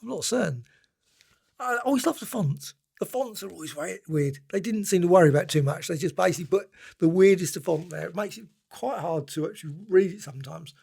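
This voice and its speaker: Raspy, higher-pitched man, casually musing. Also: brisk, darkish tone. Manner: rambling, musing, often trailing off. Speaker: book and comic reviewer. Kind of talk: casual unscripted review commentary.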